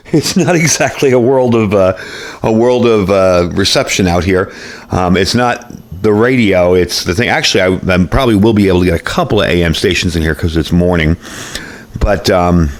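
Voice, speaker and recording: Male product reviewer, slightly deep voice, conversational and folksy, casual hands-on demo.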